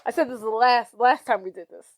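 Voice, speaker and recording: A high-pitched woman chatting on a podcast, retelling a film with playful, animated energy. Her voice sits close and dry on the mic, with no room sound. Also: a little fast, no echo. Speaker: podcast co-host. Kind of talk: casual movie recap chat.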